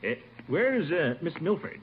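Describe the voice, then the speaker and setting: A man's voice: on the high side, sing-song, measured pace. A man acting a kindly, hesitant gift-bringer who talks to children in an old radio play. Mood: kindly, gentle. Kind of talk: 1940s-50s radio comedy-drama acting. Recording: muffled, noisy old radio broadcast recording.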